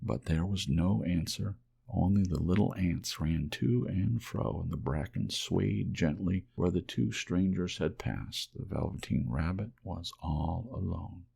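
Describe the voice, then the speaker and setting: A man narrating a children's storybook in a gentle ASMR audiobook style. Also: low voice, measured pace, vocal fry, husky.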